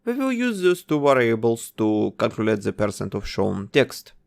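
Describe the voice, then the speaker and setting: Man with a lively, bouncing pitch. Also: moderate pace, vocal fry. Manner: instructive, gives plain commands one step at a time. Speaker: game development tutor. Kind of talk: step-by-step coding tutorial narration.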